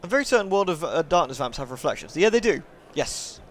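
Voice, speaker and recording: Animated, higher-pitched male streamer. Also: quick pace. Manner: polite, effusively thankful to viewers. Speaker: live streamer reading a visual novel aloud. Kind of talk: livestream chat and narrated read-aloud.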